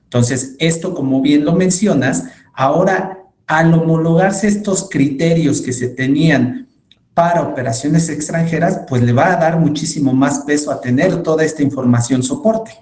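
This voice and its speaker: A man's voice: on the high side, moderate pace, a little mellow. Echoey Mexican man explaining formally. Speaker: tax expert panelist. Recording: echoey room sound.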